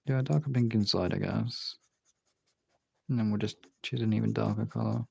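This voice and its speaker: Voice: mid-range voice, moderate pace, gravelly, darkish tone, breathy edge. A casual streamer chatting and muttering to themselves while working on art, with some swearing. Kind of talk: casual chat while colouring artwork.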